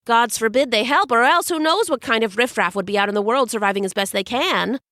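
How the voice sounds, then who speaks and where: A woman's voice: mid-range voice, unhurried, a bit bright, clear, resonant tone, no echo, clean studio sound, lightly acted. A woman voicing a speedy, chatty male messenger god whose words run together in a jumble. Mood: indignant.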